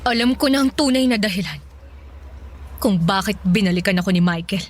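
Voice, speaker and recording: A woman's voice: medium pitch, a little fast, close to an everyday voice. An actress playing a hurt, anxious young girlfriend with a Filipino accent, speaking hesitantly in a radio drama. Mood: wounded, resolute.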